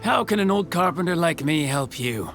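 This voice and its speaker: A man's voice: fairly high pitch, lively intonation, moderate pace, music in the background. A man playing a genial, humble old village carpenter in a video game, folksy and a little hesitant. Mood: welcoming.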